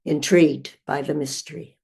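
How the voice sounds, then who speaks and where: An older woman reading her own poem in a literary style, with measured, deliberate phrasing. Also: deep voice, steady tone, vocal fry, warm tone, slightly rough.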